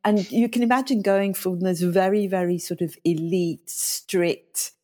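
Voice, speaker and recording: A middle-aged woman from South Africa whose first language is Afrikaans, speaking as an interview guest and former school leader in a thoughtful, measured way, often pausing on fillers. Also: lowish voice, fairly even intonation, darkish tone. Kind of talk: reflective interview storytelling.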